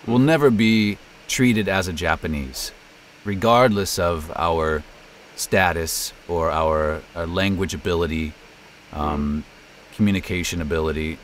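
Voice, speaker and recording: A man's voice: low-pitched, unhurried, a little hiss or hum. A middle-aged American man chatting casually in an interview as a voice actor and narrator. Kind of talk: casual interview, telling career story.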